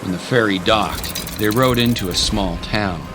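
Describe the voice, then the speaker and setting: Guy narrating an audio drama story, lowish voice, super unhurried, loud music underneath.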